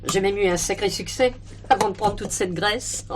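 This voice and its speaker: A woman's voice: medium pitch, moderate pace, music in the background, lightly acted. An actress playing a garrulous, motherly, earthy older ex-dancer who likes to boast, in a stage drama. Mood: boastful, nostalgic. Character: heavyset, chatty ex-dancer turned dresser, brash, motherly older woman. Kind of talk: French television stage comedy-drama acting.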